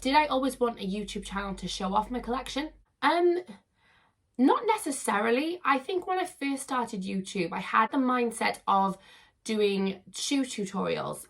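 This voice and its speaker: A woman in her thirties, hoarse and croaky from losing her voice, chatting upbeat to camera as a YouTuber. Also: a little high, expressive, moderate pace. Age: 30s. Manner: chatty, upbeat, repeats words for emphasis. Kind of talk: casual chat to camera.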